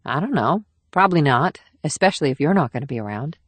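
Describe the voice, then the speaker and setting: A woman's voice: sits low, expressive, quick pace, husky, no echo. A woman playing a guarded, world-weary covert operative with an understated manner in an audiobook. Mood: weary, unsure. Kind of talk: audiobook character dialogue.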